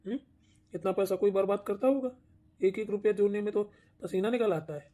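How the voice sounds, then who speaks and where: A man's voice: high voice, a little fast, warm tone, natural voice. A man voicing a stingy, evasive village husband in a family cartoon, grudging but placating. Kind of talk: Hindi family cartoon dialogue.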